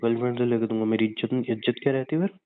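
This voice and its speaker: A man's voice: medium pitch, fairly even intonation, racing, clean tone. A young man bickering and teasing casually with his girlfriend over a phone call. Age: young adult. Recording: narrow tinny phone-line sound.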